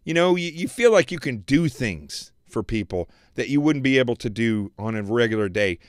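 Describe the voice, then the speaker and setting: A man hosting a political commentary livestream, preaching emphatically and repeating phrases, his voice going hoarse. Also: medium pitch, animated, moderate pace, no echo, studio quality. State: losing his voice. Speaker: show host.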